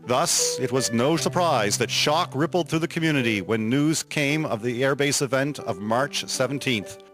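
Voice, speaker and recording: A man's voice: mid-range voice, moderate pace, music in the background. A man reading scripted historical narration in a formal documentary style.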